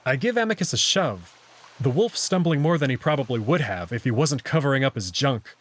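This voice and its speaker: Expressive man narrating, slightly rough voice. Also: medium pitch, fairly quick. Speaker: narrator reading a visual novel aloud. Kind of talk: scripted first-person story narration.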